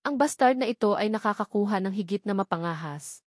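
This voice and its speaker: A woman's voice: mid-range voice, expressive, fairly quick, a little mellow, no room sound, studio quality. A woman reading a novel aloud as a scripted narration. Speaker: audiobook narrator.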